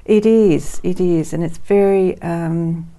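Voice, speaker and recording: Woman in her sixties with a gravelly voice. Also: on the low side, steady tone, slow pace. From Netherlands, raised in Australia. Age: 60s. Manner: warm, reflective, frequent ums and soft laughs. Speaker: guest. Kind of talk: TV studio interview.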